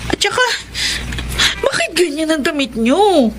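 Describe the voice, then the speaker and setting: A woman playing an impatient, embarrassed young student who is sharp with her father, in Filipino radio drama. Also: very high-pitched, very animated, measured pace, heavily acted. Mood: irritated, embarrassed. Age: young adult. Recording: light background ambience noise.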